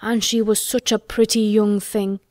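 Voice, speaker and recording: An old Yorkshire gardener , acted out by a woman reader, dry and gruff with a stubborn streak and a tender heart underneath. He speaks slowly in broad dialect, as a storybook character, in a very dry, close recording. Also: medium pitch, flat delivery, darkish tone, no echo, lightly acted. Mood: slow, obstinate. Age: older. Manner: dry, slow, gruffly obstinate.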